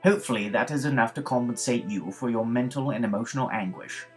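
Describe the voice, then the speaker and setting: A man voicing a posh, effusively polite English gentleman, with a pompous, florid, old-fashioned delivery. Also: mid-range voice, fast talker, soft background music, lightly acted. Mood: proud, grateful. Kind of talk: theatrical letter reading in animated story.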